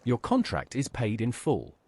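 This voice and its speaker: A woman's voice: very deep voice, wildly swooping pitch, a little slow, a little mellow, breathy edge, exaggerated voice. A woman voicing a direct, businesslike assassin recruit in a fantasy game, speaking tersely.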